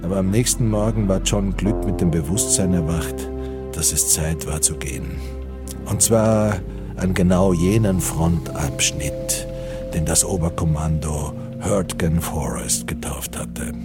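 A man's voice: deep voice, measured pace. A man reading scripted literary narration for a radio drama. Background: music.

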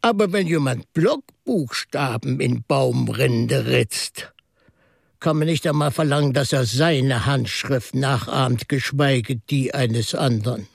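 An actor in a German radio drama playing a mild, shrewd priest-detective who reasons in a measured, reflective way. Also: male voice, medium pitch, creaky, husky, no echo, clean studio sound, exaggerated voice. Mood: grave, deliberate.

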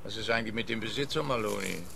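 An actor playing a smug, obtuse police officer in a radio play, talking in a pompous, officious way. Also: male voice, lowish voice, very fast, gravelly, bright, forceful tone, a little hiss or hum, full character voice. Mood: opinionated.

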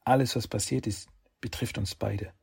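A determined young woman vows loyalty in a tender, firm voice, as a man reads her part in a storybook. The sound stays husky and gravelly throughout. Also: lowish voice, fairly even intonation, normal speed, darkish tone, exaggerated voice. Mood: resolute. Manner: resolute, tender.

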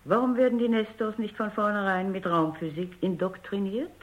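An actress playing a probing, authoritative robot psychologist in a German radio drama, with a formal and pointed manner. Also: female voice, medium pitch, fairly even intonation, moderate pace, lightly acted. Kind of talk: German radio drama acting with first-person narration. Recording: dull, band-limited radio recording.

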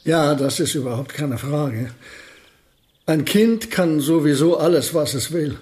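An older actor in a German radio play playing a polite, wry, absent-minded elderly physics professor. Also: male voice, a little high, unhurried, a little mellow, lightly acted. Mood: courteous.

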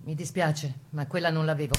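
Woman doing a defiant young partisan prisoner, radio play, short dry answers, lowish voice. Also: steady tone, brisk. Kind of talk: stage radio drama dialogue.

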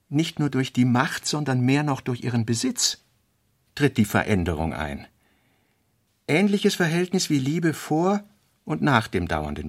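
A man reads out fragmentary notes in a radio-play studio, in a measured, clipped delivery. His voice is gravelly, with vocal fry in it. He sits at a middling pitch. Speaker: narrator.

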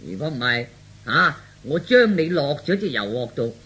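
Casting: brash young son feigning madness, bossy, sharp and forceful, wildly swooping delivery, comic radio drama. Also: male voice, fairly high pitch, very animated, normal speed, breathy edge, exaggerated voice. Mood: mock-mad ranting. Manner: blustering, scolding, bossy.

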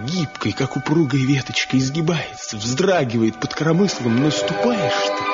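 A man acting a tormented, jealous village craftsman in a radio drama, speaking in an emotional, lyrical and accusing manner. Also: mid-range voice. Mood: tender, longing. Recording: muffled 1970 radio drama recording, music behind.

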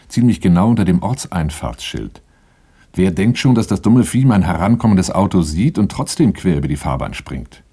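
An actor playing a weary, resolute older brother in a 1980s radio drama, speaking in a terse, controlled, matter-of-fact way. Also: male voice, low voice, mellow, slightly rough. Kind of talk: 1980s German radio drama, first-person narration and dialogue.

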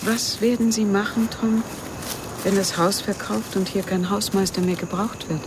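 Casting: woman to play haunted, self-pitying widow in a radio thriller, creaky voice, halting delivery, over noisy outdoor ambience. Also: medium pitch, fairly even intonation, natural voice. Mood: brooding, wistful. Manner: brooding, halting, drifting into reminiscence. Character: world-weary grieving widow, haunted by memories, self-pitying. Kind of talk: German radio crime drama acting.

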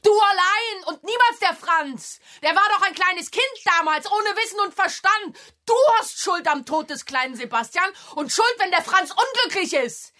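Shrill, indignant young woman. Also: expressive, moderate pace, few pauses, full character voice. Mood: furious. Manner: shouting, accusing. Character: fierce, outspoken, indignant young niece. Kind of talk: radio drama acting.

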